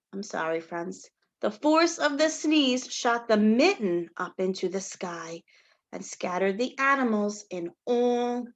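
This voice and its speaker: A woman reading a picture book aloud to young children like a teacher, expressively, with questions and asides to her listeners. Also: fairly high pitch. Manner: expressive, addresses children as friends, asks questions, gasps for effect.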